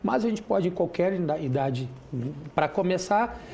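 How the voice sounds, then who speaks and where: Gravelly-voiced man explaining. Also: sits high, lively intonation, moderate pace, darkish tone, slight background noise. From Rio Grande do Sul, Brazil. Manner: didactic, many restarts and "né" tags. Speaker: chiropractor guest. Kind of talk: explanatory interview answers. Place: TV studio.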